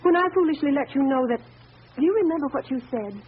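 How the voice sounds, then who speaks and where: Woman acting a devoted worried sweetheart, high animated voice, pleading, tinny old radio drama. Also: fast, natural voice. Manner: earnest, emotional, pleading. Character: worried, devoted lady companion pleading with her beloved. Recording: tinny, noisy 1930s radio recording.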